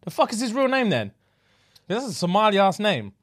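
A man's voice: sits high, very animated, fairly quick, fairly bright tone. A man with a London British accent, co-hosting a podcast in casual, joking banter with plenty of slang and swearing. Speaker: podcast co-host.

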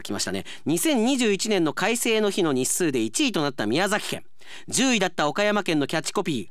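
A man hosting a radio show as an announcer, talking in a lively way with conversational asides. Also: fairly high pitch, no echo, clean studio sound.

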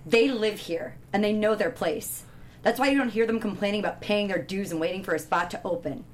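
A woman's voice: medium pitch, brisk, slight background noise, lightly acted. A woman at a table read playing a hostile, territorial teenage surfer bro with a sneering, slangy manner. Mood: dismissive.

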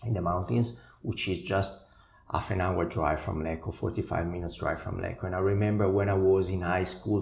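Very deep, gravelly man. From northern Italy. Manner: relaxed, recalls his past with fillers and restarts. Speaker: guest painter. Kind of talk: interview answers. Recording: echoey room with faint noise.

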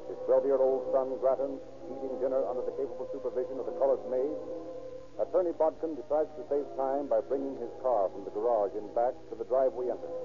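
A man doing formal, declamatory scripted announcing and narration in a 1930s radio style, with measured phrasing. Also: medium pitch. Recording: tinny 1930s radio recording.

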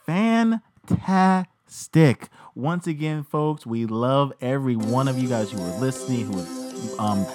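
A man's voice: medium pitch, lively intonation, over music. A man co-hosting a podcast who chats casually, backs up his partner and closes the show. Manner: quick, agreeable, backs up his co-host. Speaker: podcast co-host. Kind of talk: casual chat and show sign-off.

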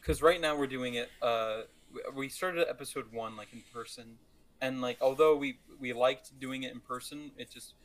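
Teasing male podcast co-host, mid-pitched. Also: normal speed, rough recording. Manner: playfully teasing. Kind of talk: casual, joking banter.

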